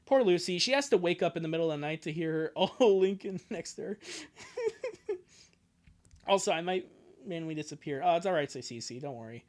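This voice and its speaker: A man's voice: on the high side, lively intonation, a little fast, pauses often, darkish tone. A man hosting a livestream, giving casual, chatty commentary with a joking, sarcastic manner. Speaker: livestream host. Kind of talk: casual livestream commentary on a fan comic reading.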